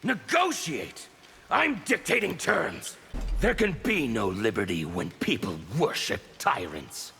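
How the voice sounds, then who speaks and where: A man voicing a fanatical, menacing anarchist revolutionary in a video game, harsh and gravelly, declaiming with contempt and defiance. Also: fairly high pitch, sing-song, slow pace, bright, forceful tone, long reverb tail, a little hiss or hum, full character voice. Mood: contemptuous, defiant. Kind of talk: video game character dialogue.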